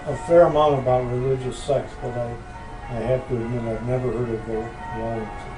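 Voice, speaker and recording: An older man answering questions casually as a podcast guest, with deadpan, hesitant replies. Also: medium pitch, brisk. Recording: echoey room with music behind.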